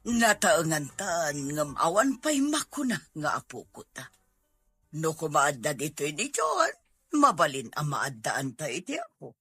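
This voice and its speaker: Woman playing a low, husky older Ilocano mother. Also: big pitch swings, unhurried, sharp tone, dry recording, faint music behind, exaggerated voice. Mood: concerned, probing. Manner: probing, fretful. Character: concerned older mother yearning for a grandchild. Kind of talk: audio drama acting.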